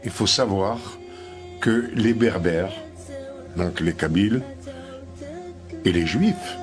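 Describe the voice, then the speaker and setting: A man's voice: sits low, slow pace, music in the background. An older man from Algeria, a guest on a television panel, explaining at length with many hesitant fillers.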